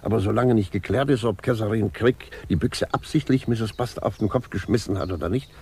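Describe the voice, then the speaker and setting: An actor playing a wry, defiant old retired judge in a radio drama, musing ironically. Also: male voice, lowish voice, brisk, darkish tone, natural voice. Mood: indignant, musing. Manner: musing, ironic, chuckling rhetorical questions. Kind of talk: 1950s German radio drama acting. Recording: noisy 1950s radio recording.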